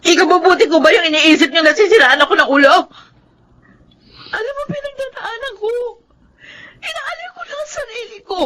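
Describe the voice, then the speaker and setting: A woman's voice: very high voice, expressive, brisk, pauses often, fairly bright tone, a little hiss or hum. An actress in a Filipino radio drama playing a hurt, defensive young wife who grieves her lost baby. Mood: hurt, tearful.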